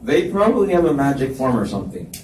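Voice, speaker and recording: A man giving a low-key, earnest live reading of a novel's male lead at a library event. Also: big pitch swings, fast, darkish tone. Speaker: reader voicing the male lead. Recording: roomy, distant mic with faint hiss.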